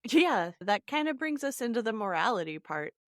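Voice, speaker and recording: A woman's voice: on the high side, sing-song, normal speed, dry recording, clean studio sound. A woman hosting a podcast, chatting casually about a story and steering the discussion.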